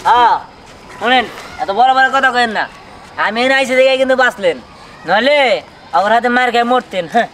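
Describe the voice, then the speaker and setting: A man's voice: very high voice, fairly quick, pauses often, bright, forceful tone, slight background noise. A man voicing a boastful, slippery young lover who blusters and protests in a Bengali comedy cartoon. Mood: blustering.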